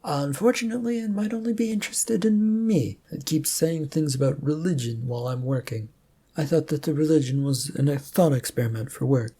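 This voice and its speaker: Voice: medium pitch, a little fast, warm tone, breathy edge. A narrator voicing a flustered, awkward man who stammers hesitantly through a story as a reluctant prophet. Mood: sheepish. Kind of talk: amateur story reading in character.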